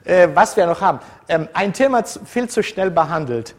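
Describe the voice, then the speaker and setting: A man's voice: high-pitched, very animated, normal speed. A man from the former Soviet Union, a university lecturer who speaks informally to his students, wandering off topic with frequent fillers and restarts. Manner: digressive and conversational, many fillers and self-corrections.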